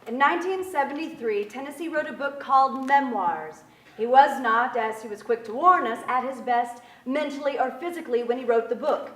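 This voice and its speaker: A woman's voice: high-pitched, moderate pace, a bit bright. A woman in her thirties giving a formal scripted narration from a stage. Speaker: presenter reading at a staged reading. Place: on a theater stage, indoors. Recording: echoey theater, distant pickup.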